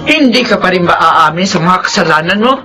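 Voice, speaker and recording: A woman's voice: on the low side, expressive, a little fast, over music, close to an everyday voice. An actress playing a grieving, vengeful mother in a Filipino radio drama, bitter and accusing, with a Filipino accent.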